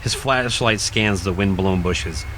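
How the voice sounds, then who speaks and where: A man reading scripted horror narration in a dramatic, deliberate storytelling manner. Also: deep voice, a bit bright, slight background noise, poor audio. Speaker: narrator, podcast host.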